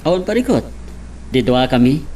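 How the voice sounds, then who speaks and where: A man's voice: mid-range voice, big pitch swings, moderate pace, darkish tone, slight background noise, close to an everyday voice. A man with an Ilocano accent acting a confident rival in love in a romance audio drama.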